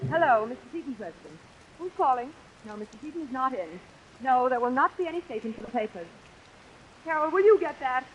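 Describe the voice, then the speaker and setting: A quick-talking, bright young secretary in vintage radio-play style. Her delivery is animated, flippant and slangy, over a hissy old broadcast recording. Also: high voice, poor audio. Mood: harried, snappish. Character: exceedingly bright, brisk young secretary, wisecracking and harried. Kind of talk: 1940s radio acting.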